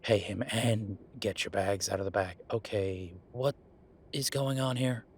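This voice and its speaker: A man playing a gruff, sarcastic merman who runs a tattoo shop, speaking in a halting, conversational audio roleplay style. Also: fairly low pitch, fairly even intonation, a little hiss or hum. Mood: concerned, gruff. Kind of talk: naturalistic one-sided audio roleplay.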